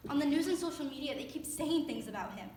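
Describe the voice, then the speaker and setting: A woman's voice: high-pitched, animated, fast, darkish tone. Young woman acting a grieving mother, distant and echoey. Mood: pained, defensive. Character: grieving, guilt-ridden mother of a school shooter. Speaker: student performer in readers theater. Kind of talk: staged dramatic monologue. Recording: distant echoey stage recording, faint noise.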